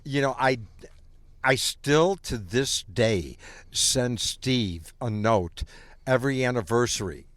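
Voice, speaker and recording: A man's voice: mid-range voice, animated, takes it slowly, fairly bright tone, dry recording. Wry older man with a Chicago accent. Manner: wry, teasing. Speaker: guest, former radio marketer. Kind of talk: casual chat. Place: restaurant.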